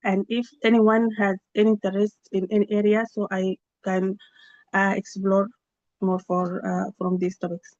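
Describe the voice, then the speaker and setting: Halting woman on a flat, muffled call. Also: medium pitch, no echo. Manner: halting, many fillers and restarts. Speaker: researcher giving a project update. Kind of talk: spontaneous meeting report. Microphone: video call. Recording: slightly dull, dry video-call audio.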